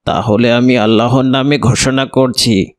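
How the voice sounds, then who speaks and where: A man's voice: mid-range voice, fairly even intonation, measured pace, natural voice. A man voicing a solemn mosque cleric in a moral cartoon, speaking in a formal, declarative way. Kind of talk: Islamic moral cartoon dialogue.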